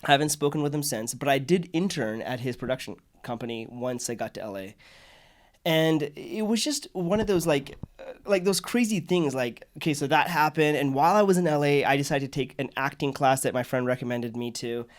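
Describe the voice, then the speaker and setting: A man hosting a podcast, telling a personal story in casual, animated conversation. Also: medium pitch, brisk. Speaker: podcast host.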